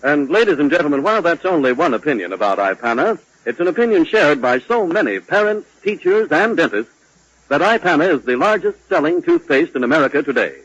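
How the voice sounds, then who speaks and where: Husky, high-toned male radio announcer. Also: very animated, a bit bright, no echo. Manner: formal, emphatic, measured emphasis. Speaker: commercial announcer. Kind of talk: 1940s radio advertising read. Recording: dull, dry 1940s radio recording.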